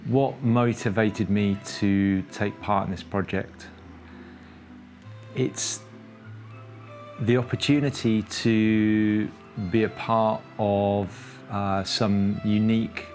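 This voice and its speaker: A man, a wreck diver giving measured interview answers. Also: on the low side, flat delivery, a little mellow, faint music behind. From Kent, England.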